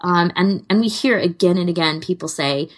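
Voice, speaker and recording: A woman's voice: fairly low pitch, moderate pace. A woman co-hosting a podcast, chatting casually and informally. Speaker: podcast co-host.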